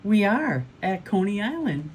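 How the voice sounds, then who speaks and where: A woman's voice: medium pitch, sing-song, measured pace, slight background noise, voice pushed up, low-quality recording. A woman voicing curious, impatient young children on a trip in a storybook, questioning and exclaiming.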